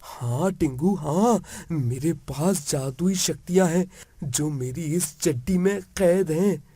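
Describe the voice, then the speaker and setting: Man voicing a slow, earnest magical young prince. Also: fairly high pitch, warm tone, breathy edge, no echo. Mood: earnest, explaining. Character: kind, reassuring magical young prince from another realm. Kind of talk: Hindi animated moral-story dialogue.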